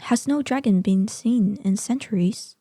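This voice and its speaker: An ancient, vain dragoness in human shape, acted by a woman, speaks with a very dark, mellow tone and a breathy softness. Her delivery is slow and imperious, with formal, theatrical phrasing fit for a fantasy audio drama. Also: mid-range voice, dry recording, clean studio sound, lightly acted. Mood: indignant, demanding. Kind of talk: scripted roleplay audio drama.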